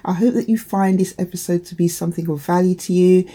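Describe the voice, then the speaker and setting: A woman hosting her own podcast, slightly croaky from hay fever, talking in an encouraging, chatty way to her listeners. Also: fairly low pitch, steady tone, quick pace, warm tone. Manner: encouraging, chatty, many "you know" fillers. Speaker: podcast host and empowerment coach. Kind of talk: solo podcast talk to listeners.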